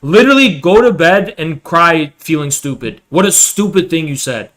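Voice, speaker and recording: Brief: high-voiced bright male streamer, profane ranting commentary. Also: expressive, normal speed, poor audio. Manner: ranting, profane, repetitive mocking. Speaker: streamer reacting.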